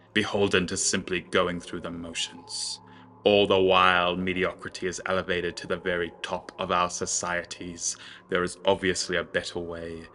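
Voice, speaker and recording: A man's voice: sits low, bright, forceful tone, slight background noise. A man voicing a ruthless, pontificating sorcerer lord in a fantasy audio drama, speaking in a cold, grandiose, oratorical way.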